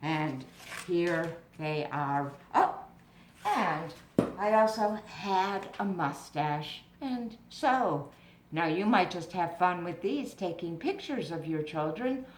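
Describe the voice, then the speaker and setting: A woman with a slightly low voice gives an informal, explanatory craft demonstration. She takes her time, speaking in a measured way as a presenter to parents. The recording is roomy and echoey, with clear background noise. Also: expressive.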